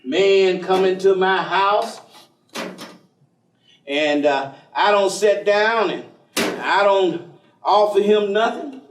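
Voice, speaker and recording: Actor as high-pitched folksy ex-convict, echoey stage. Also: male voice, takes it slowly, pauses often, slight background noise. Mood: teasing, coaxing. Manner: drawling, chuckling, colloquial, coaxing. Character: folksy, streetwise ex-convict host, persistent and teasing. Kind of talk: staged play reading before an audience. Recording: echoey stage room, distant microphone.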